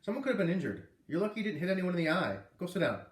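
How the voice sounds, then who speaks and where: A man's voice: high-pitched, sing-song, rapid-fire, pitched above the performer's own voice. Man as a firm male schoolteacher, roomy sound. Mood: stern. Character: calm, encouraging, firm male schoolteacher in a children's novel. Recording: roomy, distant microphone.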